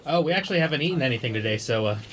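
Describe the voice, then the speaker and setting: A man acting as an anxious, devoted boyfriend in a drama, speaking in a halting, tentative way. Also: mid-range voice, expressive, fairly bright tone, natural voice. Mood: awkward, tentative. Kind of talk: naturalistic dialogue acting.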